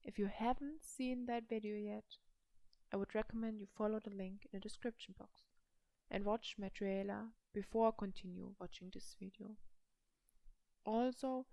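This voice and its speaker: A young woman from Germany with a German accent, speaking slowly and carefully to the camera in a personal language vlog. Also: medium pitch, steady tone, creaky, mellow, dry recording, rough recording. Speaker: language vlogger. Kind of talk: slow personal vlog talk.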